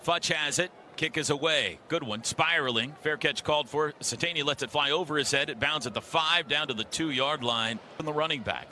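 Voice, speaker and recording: A man's voice: fairly high pitch, moderate pace, hardly any pauses, a little hiss or hum. An American man calling football play-by-play on the radio in clipped, punchy, emphatic phrases. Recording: radio broadcast under loud music. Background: loud music.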